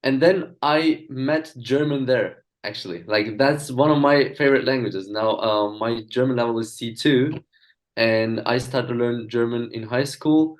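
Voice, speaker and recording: A young man from Turkey, a native speaker of Azerbaijani and Turkish, talking as an interview guest with hesitant pauses and fillers. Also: medium pitch. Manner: hesitant, many fillers and restarts. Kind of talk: casual video-call interview.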